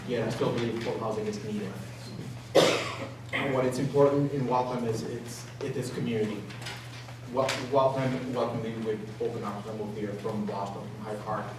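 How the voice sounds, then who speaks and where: Flat-pitched man in a very echoey hall. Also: normal speed. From Peru. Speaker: city council candidate. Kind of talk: informal campaign speech. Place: large indoor public forum room. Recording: echoey hall, distant mic, background noise.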